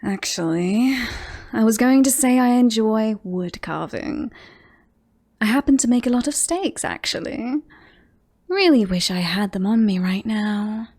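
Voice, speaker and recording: A woman playing a possessive, lovestruck female werewolf in a roleplay audio drama, teasing and boastful, often slipping into breathy whispers. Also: on the high side, animated, a little mellow. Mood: smug, teasing. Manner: slow, breathy asides, often dropping into whispers.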